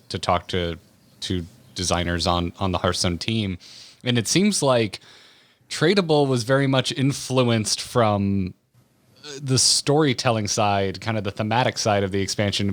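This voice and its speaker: A man hosting a casual interview podcast, speaking in a thoughtful, roundabout way. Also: fairly low pitch. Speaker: podcast host.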